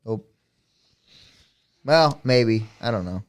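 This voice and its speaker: A man's voice: fairly low pitch, lively intonation, takes it slowly. A young man co-hosting a podcast, chatting casually and laughing through his stories. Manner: jokey, laughs while telling stories. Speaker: podcast co-host. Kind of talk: casual chat.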